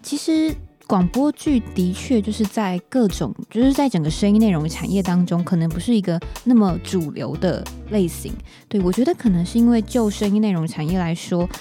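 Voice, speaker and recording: A woman's voice: mid-range voice, dark tone. A woman with a Taiwanese Mandarin accent hosting a podcast in a casual, chatty conversational way. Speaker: podcast host.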